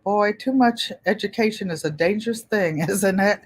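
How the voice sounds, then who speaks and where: Gentle-voiced woman in her seventies. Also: mid-range voice, moderate pace, breathy edge. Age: 70s. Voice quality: gentle, pleasant voice. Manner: chatty, rambling, repeats phrases. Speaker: channel host. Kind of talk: casual livestream chat.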